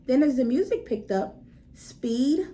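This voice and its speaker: A woman reads a children's story aloud in a high voice with very lively intonation. She leans on key words dramatically and speaks straight to her young listeners. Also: measured pace. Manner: animated, dramatic emphasis, addresses listeners directly. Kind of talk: expressive children's story reading with asides to listeners.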